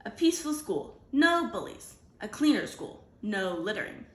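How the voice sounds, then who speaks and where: A woman's voice: medium pitch, big pitch swings, moderate pace, reverberant, lightly acted. A woman voicing a determined, earnest schoolgirl in a storybook, speaking emphatically. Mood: rallying. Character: determined, earnest schoolgirl running for class president.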